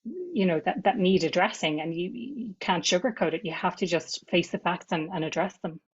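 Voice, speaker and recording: A woman's voice: lowish voice, animated, moderate pace, husky, dull audio. A woman, a podcast guest talking reflectively, often repeating words as she gathers her thoughts. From Ireland. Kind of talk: conversational podcast interview.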